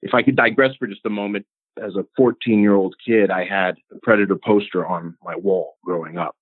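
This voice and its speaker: A man in his forties from Los Angeles speaks in a fairly low voice, telling his story earnestly as an interview guest. He often stumbles and repeats himself at the start of his sentences. His voice comes through a tinny, phone-like line that sounds dry and close. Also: steady tone, fairly quick, dry recording. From Los Angeles, United States. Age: 40s. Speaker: film director, guest. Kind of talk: interview answers over a remote line.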